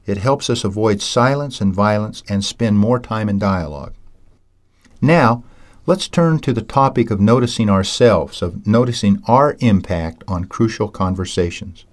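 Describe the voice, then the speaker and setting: A man reading a scripted lesson in a measured, storytelling way, teaching communication skills. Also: fairly low pitch. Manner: measured, anecdotal, self-described ham. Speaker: audiobook narrator and seminar teacher. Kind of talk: scripted audiobook narration.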